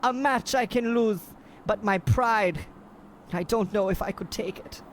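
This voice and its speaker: A man's voice: high-pitched, a little slow, slight background noise. A man playing a melodramatic, proud Bavarian boxer with a German accent in a comic radio play. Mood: proudly solemn. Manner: pompous, overwrought, flowery. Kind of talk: old-time radio play parody.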